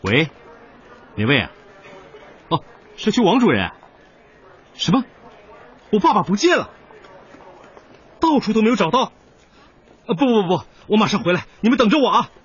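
A man's voice: sits high, very animated, moderate pace, long pauses. A man playing an earnest, protective middle-aged father in a radio drama. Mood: alarmed, frantic. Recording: muffled radio drama recording, music underneath.

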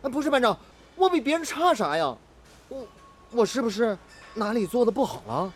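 A man's voice: very high-pitched, very animated, fairly quick, a little hiss or hum. A man acting an earnest, eager young factory apprentice in a radio drama, speaking with deference. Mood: indignant. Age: young adult.

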